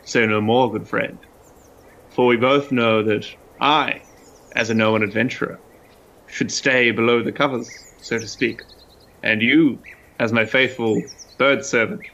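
A man voicing a pompous, excitable small animal adventurer with flowery, gallant speech in a tabletop game. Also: medium pitch, a little slow, lo-fi sound.

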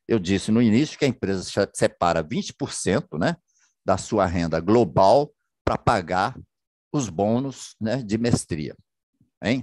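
A man's voice: on the low side, darkish tone, no room sound, clean studio sound. A man presenting over a video call, chatty and full of checking questions as he walks through a plan. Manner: chatty, many checking tags and rhetorical questions. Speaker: business presenter. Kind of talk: presentation over shared slides. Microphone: computer mic on a video call.

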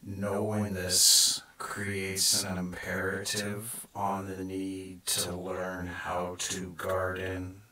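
Slow, echoing low-voiced man guiding meditation. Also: completely flat delivery, sharp tone. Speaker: guided meditation narrator. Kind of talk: slow scripted guided meditation narration. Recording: echoing, distant from the mic.

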